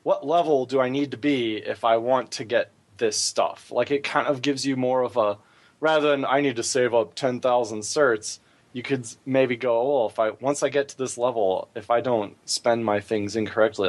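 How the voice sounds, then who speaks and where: A man's voice: medium pitch, steady tone, normal speed. Man hosting a casual gaming podcast. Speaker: podcast host. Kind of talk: casual chat about gaming.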